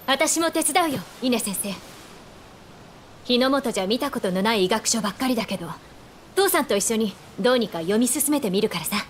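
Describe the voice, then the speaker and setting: A woman's voice: fairly high pitch, lively intonation, a little fast, a little hiss or hum, close to an everyday voice. A woman playing a spirited, frank young woman, a doctor's daughter, in a Japanese video game, casual and teasing. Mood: determined. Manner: casual, teasing, self-assured.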